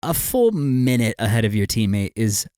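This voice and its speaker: A man co-hosting a casual podcast, telling stories in a wry, animated way. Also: fairly low pitch, moderate pace, smooth, no room sound, studio quality. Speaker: podcast co-host. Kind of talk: casual chat.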